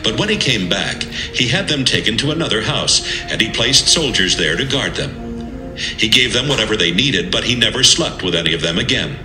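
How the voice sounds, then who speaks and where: Male narrator, measured dramatic scripture storytelling, lively intonation, reverberant over a clear music bed. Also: fairly low pitch. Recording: echoey, music bed behind.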